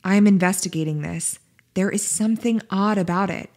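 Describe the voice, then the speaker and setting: A woman's voice: mid-range voice, normal speed, darkish tone, close to an everyday voice. A woman playing a determined, suspicious investigator who speaks insistently, in an amateur audio drama.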